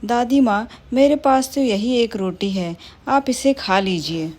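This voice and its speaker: A soft, gentle cartoon mother bird, as a woman puts her on, poor and kind-hearted. She pleads and worries in a plain, tender voice, close to a normal woman speaking. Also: a little high, measured pace. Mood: pleading.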